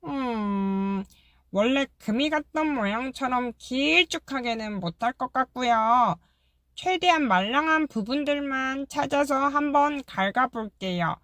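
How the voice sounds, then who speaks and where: Woman doing a polite wary termite for a storybook, high voice, lots of up and down. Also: lively intonation, a little slow, a bit bright, no room sound. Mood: earnest. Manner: polite, hesitant, bluntly practical. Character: polite wary little termite, blunt but kind. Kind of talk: audiobook character voice.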